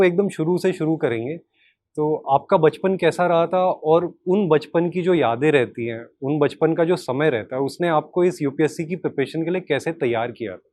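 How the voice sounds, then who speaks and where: A man's voice: fairly high pitch, a little mellow. A man hosting a talk interview, mixing English words into his speech. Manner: Hindi mixed with English words. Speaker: interview host.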